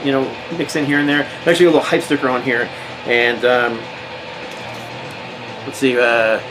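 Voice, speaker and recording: A man's voice: mid-range voice, little pitch movement, moderate pace, over music, low-quality recording. An American man casually showing records from his collection, rambling with lots of fillers and restarts. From United States. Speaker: metal record collector vlogger. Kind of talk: casual record show-and-tell.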